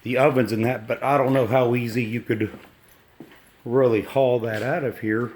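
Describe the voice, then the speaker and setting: A man with a slightly froggy voice from allergies, giving halting, musing, folksy commentary as he walks around exploring with a handheld camera. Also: mid-range voice, fairly even intonation, a little mellow, a little hiss or hum. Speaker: urban exploration video maker. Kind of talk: casual unscripted walk-through commentary. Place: walking around an abandoned park site.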